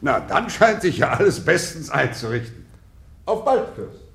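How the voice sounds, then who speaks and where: A man playing an excitable, hearty, insinuating gentleman in a radio drama, theatrical and exclamatory. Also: on the high side, moderate pace, vocal fry, full character voice. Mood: gleeful surprise.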